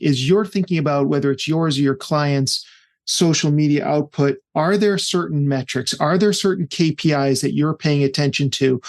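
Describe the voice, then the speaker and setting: A man hosts a podcast and puts questions to his guest in a relaxed, conversational manner. His voice sits a little above the usual male pitch. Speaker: podcast host.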